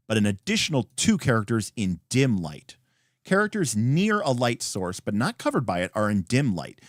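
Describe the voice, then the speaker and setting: A man hosting a read-along, reading a game rulebook aloud in an emphatic, deliberate way with brief asides. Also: lowish voice, no echo, clean studio sound. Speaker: host.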